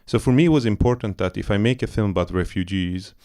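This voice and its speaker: A man's voice: lowish voice, quick pace, dark tone. A man from Iran, a film director, talking loosely in a post-screening Q&A, searching for words with many fillers and restarts. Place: cinema auditorium.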